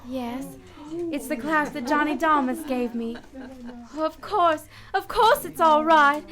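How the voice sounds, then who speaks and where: A woman's voice: sits high, a little slow, a bit bright. An actress playing a wistful, sentimental woman in 1940s radio drama style. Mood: wistful, brave. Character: Lola, wistful, sentimental woman clinging to lost love.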